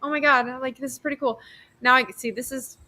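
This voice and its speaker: A woman physician from New York state, hosting a casual livestream over a video call, chatty and rambling with quick bursts of excitement. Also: high voice. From New York state, USA.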